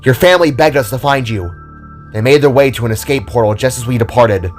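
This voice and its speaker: A man's voice: medium pitch, quick pace, close to an everyday voice. A man performs an earnest woman warrior in an audio drama. Music plays behind the voice. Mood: explaining. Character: adult woman warrior, earnest old friend.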